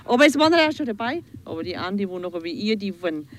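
A woman's voice: medium pitch, big pitch swings, fast. A woman chatting in an informal interview in broken, rambling sentences. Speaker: villager being interviewed. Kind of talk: spontaneous interview chat.

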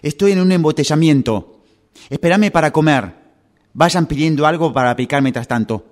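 A man's voice: on the high side, moderate pace, a little mellow, close to an everyday voice. A man playing a friendly guy held up in traffic and phoning old friends in a radio drama, with an Argentine Spanish accent. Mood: impatient, friendly. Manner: informal, chummy. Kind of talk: radio drama acting, one side of a phone call.

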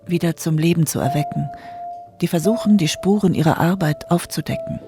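A man's voice: fairly high pitch, brisk, music in the background, lightly acted. A man performing reflective, literary narration as a melancholy ghostly figure in a radio play. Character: ghostly narrator gathering lost memories, melancholy.

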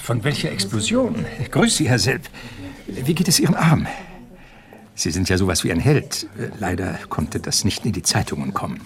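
An actor playing a pompous chemical company executive in a German radio drama, with a rhetorical, self-assured way of talking. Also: male voice, fairly low pitch, very animated, moderate pace, creaky, a crowd in the background. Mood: jovial, patronising.

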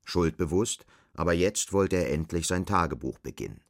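An actor playing a sober storyteller, narrating a bleak dystopian radio drama in a measured, matter-of-fact manner. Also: male voice, low voice, lively intonation, no room sound, studio quality.

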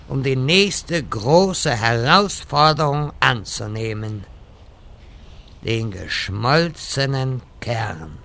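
A man plays a grandiose wizard leading heroes in a parody radio play. The voice is forceful and gravelly, with a slow, solemn, declamatory delivery and long-suffering undertone. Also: a little high, heavily acted.

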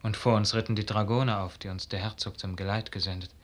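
A man's voice: on the low side, steady tone, quick pace, lightly acted. An actor playing a lovesick, earnest young nobleman with a yearning, lyrical delivery in a 1950s radio drama. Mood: wistful rapture. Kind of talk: 1950s German radio play acting.

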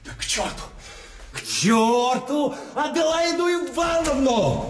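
High-pitched, outraged card swindler. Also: male voice, big pitch swings, slow pace, slight background noise, heavily acted. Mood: despairing rage. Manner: stammering repetitions, theatrical exclamations. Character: conceited male card swindler, outraged at being outwitted. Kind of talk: classic Russian stage comedy acting. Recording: music behind the voice. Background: music.

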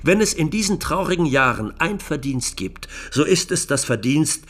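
A man's voice: a little high, very animated, fairly bright tone, husky, full character voice. A man playing a grave, indignant 1920s German defence lawyer, pleading with solemn, emphatic rhetoric in a radio documentary. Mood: solemn, accusing. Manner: solemn, rhetorical, emphatic oratory with deliberate pauses. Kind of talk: dramatized courtroom closing speech, radio documentary.

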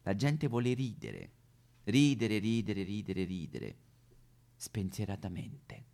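A man speaks as a comic actor addressing his audience. He sounds flustered and apologetic, repeating himself. His pitch leaps about in a very animated way. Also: slow pace, vocal fry.

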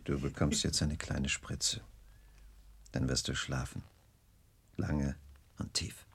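Creepy condescending scientist king, super low husky voice, radio play. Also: little pitch movement, takes it slowly, heavily acted. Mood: menacing. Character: cold, condescending male ruler-scientist, a king-father. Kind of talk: 1970s German radio drama acting.

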